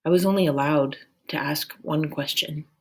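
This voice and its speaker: A woman voicing a gentle, resolute young girl in a storybook folk tale, calm and earnest. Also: low voice, moderate pace, husky. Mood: quietly resigned.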